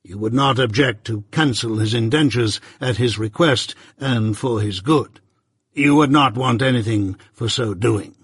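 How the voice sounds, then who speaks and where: A man playing a stern, imperious middle-aged London lawyer who speaks slowly and with command. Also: mid-range voice, breathy edge, no echo, studio quality. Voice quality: slow, measured, commanding.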